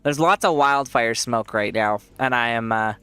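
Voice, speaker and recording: A man's voice: medium pitch, moderate pace, bright, forceful tone, smooth, no room sound. A man with a congested voice, giving rambling casual commentary while he plays a game and laughs often. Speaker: streamer.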